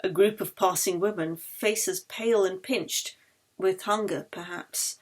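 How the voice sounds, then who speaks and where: A woman reading her own novel as expressive audiobook narration. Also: medium pitch, measured pace.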